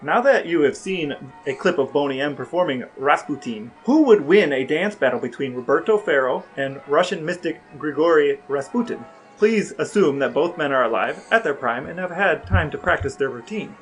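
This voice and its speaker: Male podcast co-host, lightly raised pitch, deadpan mock-serious phrasing, soft music underneath. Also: normal speed, few pauses, poor audio. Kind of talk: conversational podcast talk and interviewing.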